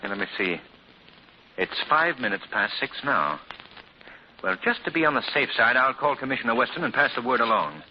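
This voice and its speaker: Man playing a suave gentleman detective, polished, lively intonation, muffled old radio sound, faint hiss. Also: mid-range voice, moderate pace, close to an everyday voice. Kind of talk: 1940s radio acting. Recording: muffled 1940s radio broadcast.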